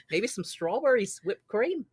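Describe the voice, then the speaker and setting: A man's voice: very high voice, slightly rough, no room sound. An American man hosting a podcast, telling a story in a playful, jokey, casual way. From Mountain West United States. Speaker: podcast host. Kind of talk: recapping a musical, casual joking chat.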